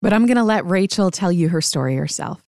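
A woman's voice: on the low side, animated, brisk, darkish tone, no room sound. Woman host with a close, dry sound. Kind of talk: scripted intro and conversational interview.

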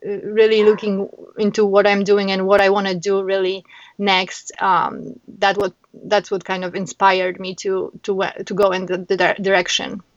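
A woman's voice: medium pitch, completely flat delivery, moderate pace, no echo. A middle-aged woman from Poland with a Polish accent, talking earnestly in an interview, with many fillers and restarts. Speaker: guest, founder. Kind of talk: casual interview chat. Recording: dull, dry sound.

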